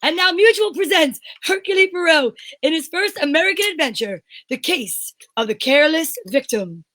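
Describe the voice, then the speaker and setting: Woman as a high-pitched old-time radio announcer. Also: expressive, moderate pace, a bit bright, no echo. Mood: grand, presentational. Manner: declamatory, stop-and-start reading. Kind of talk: amateur 1940s radio announcing.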